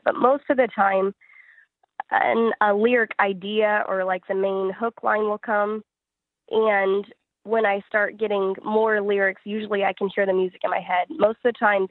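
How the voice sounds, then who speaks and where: A woman's voice: medium pitch, no room sound, narrow, tinny audio. A woman singer-songwriter answering interview questions in an earnest, reflective, conversational way.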